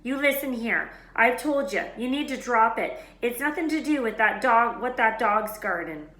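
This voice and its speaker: A woman's voice: fairly high pitch, expressive, moderate pace, pushed and bright, echoey room, pitched above the performer's own voice. A woman voicing a huge, friendly, blunt gamekeeper man with hearty, rustic speech in a storybook reading. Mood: gruff, stubborn.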